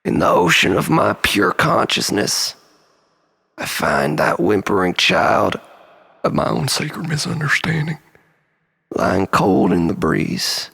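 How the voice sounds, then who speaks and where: Creaky-voiced man in a theatrical, brooding monologue. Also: a little high, pushed and bright. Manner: theatrical, brooding, often dropping to breathy near-whispers. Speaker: storyteller, monologist. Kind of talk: dramatic confessional spoken-word monologue.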